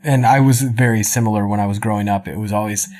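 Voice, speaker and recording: A man's voice: on the low side, fairly even intonation, fast, a little mellow. A man hosting a podcast interview, talking in a chatty, rambling way with many fillers. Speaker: podcast host. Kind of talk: casual interview chat.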